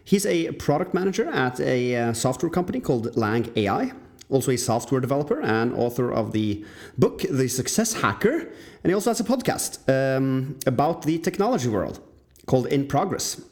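A man's voice: mid-range voice, very animated, normal speed, a little mellow. A man hosting a podcast, asking questions casually and a little tentatively. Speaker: podcast host. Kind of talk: casual interview.

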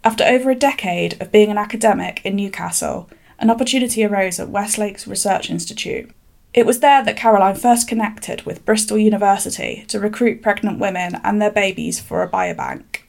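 A woman podcast interviewer with a gravelly, fry-heavy voice reads a scripted profile and asks friendly questions. Her delivery is clear and upbeat. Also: medium pitch, fairly quick. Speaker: interviewer and narrator.

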